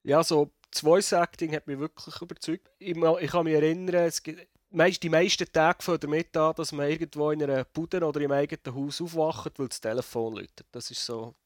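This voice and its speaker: A man's voice: on the high side, fairly even intonation, no echo, studio quality. A man, co-hosting a casual game review chat, speaking thoughtfully with frequent halts and restarts. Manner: thoughtful, halting, many restarts. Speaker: video game reviewer, co-host.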